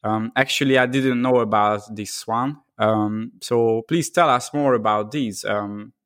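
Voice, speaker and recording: A man's voice: lowish voice, a bit bright, no room sound. Guy hosting a podcast, lots of ums and restarts, dry close sound. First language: Hungarian. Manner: halting, many fillers and restarts. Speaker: podcast host. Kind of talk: casual interview chat.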